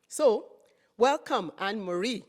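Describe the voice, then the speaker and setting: A woman hosting an interview, speaking in a measured way with frequent fillers. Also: mid-range voice, animated. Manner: slow, measured, with many fillers. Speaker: host.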